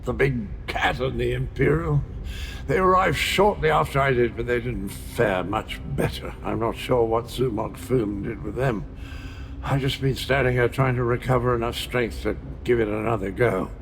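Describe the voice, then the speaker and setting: An actor playing a weakened, genial old knightly spirit in a video game, halting and rambling with quaint gentlemanly phrasing. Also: male voice, medium pitch, vocal fry, slight background noise, natural voice. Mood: weak, regretful.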